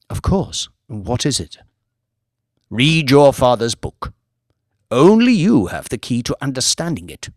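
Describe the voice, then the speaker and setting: A man's voice: mid-range voice, darkish tone, dry recording, clean studio sound. A man playing a pompous ancient villain lord. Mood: solemn. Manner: slow, grand, drawling.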